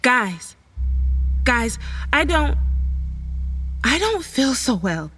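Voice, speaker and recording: High-voiced, woozy, frightened girl. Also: sing-song, very slow, pushed and bright, soft background music, exaggerated voice. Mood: dazed, anxious. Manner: hesitant, faltering. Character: frightened, woozy young woman in a seance group. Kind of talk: audio horror drama acting.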